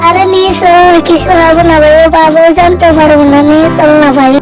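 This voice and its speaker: A woman's voice: very high voice, steady tone, brisk, over music, low-quality recording. A woman playing a curious, timid little girl in a children's fairy-tale cartoon, with a coaxing, whiny manner. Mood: pleading.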